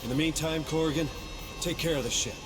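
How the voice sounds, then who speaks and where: A man's voice: fairly high pitch, a little fast, natural voice. A man playing a weary, stubborn marine sergeant in a video game, terse and blunt. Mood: businesslike. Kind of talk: video game cutscene acting. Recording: music under the voice.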